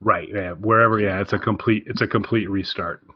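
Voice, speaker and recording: A man with a fairly low voice talks casually as a podcast co-host. He rambles along with easy enthusiasm. The sound is very dry and a little lo-fi. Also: steady tone, fairly quick, slightly rough, dry recording. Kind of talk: casual game recommendation chat.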